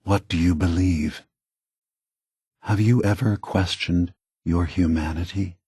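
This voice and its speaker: An actor playing a calm, grave synthetic man, a weary scheming leader, with a husky, measured, deliberate delivery for a video game. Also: low voice, no echo, studio quality, exaggerated voice. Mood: reflective.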